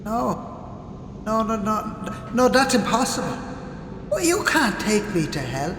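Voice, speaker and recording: An actor playing an old, drunken, boastful Irish farmer in a radio play, with a grizzled voice and theatrical manner. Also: male voice, high-pitched, sing-song, unhurried, noisy background, heavily acted. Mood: desperate.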